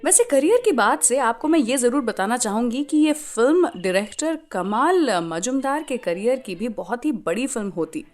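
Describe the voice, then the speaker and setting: A woman's voice: fairly high pitch, very animated, moderate pace, few pauses, darkish tone. An Indian woman hosting a radio show, telling scripted stories in a chatty way straight to the listener and mixing in English phrases. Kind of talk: scripted radio storytelling and song introductions.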